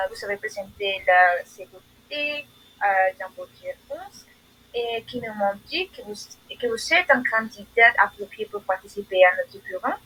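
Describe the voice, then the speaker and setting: Husky, lively woman. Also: medium pitch, low-quality recording. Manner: formal but halting, many fillers. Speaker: jury member in a mock admission interview. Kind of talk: role-played formal announcement.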